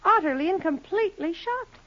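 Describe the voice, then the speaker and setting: A woman's voice: very high-pitched, animated, a little fast. A woman playing a cool, sly wife in a 1940s radio drama, mock-sweet and sarcastic. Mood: sweetly sarcastic. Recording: dull old radio recording.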